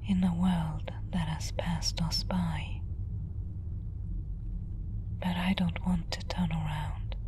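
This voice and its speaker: A woman acts out a world-weary female space explorer, musing wistfully in an ASMR roleplay. Her whisper is breathy and lowish, and she speaks with extreme slowness. An ambient bed of noise sits behind her words. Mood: quietly resolute. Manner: slow, hushed, contemplative. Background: ambient soundscape.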